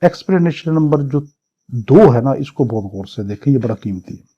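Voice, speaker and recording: A Pakistani man delivers an exam-prep law lecture in a soft-edged, dark voice of average pitch. He reads out clauses, then explains them didactically, repeating points and asking questions he answers himself. The recording is somewhat dull and lo-fi. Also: expressive, normal speed.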